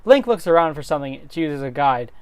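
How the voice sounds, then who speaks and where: Man narrating, animated and dry. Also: fairly high pitch, fast, smooth, no room sound. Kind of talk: dramatic script reading.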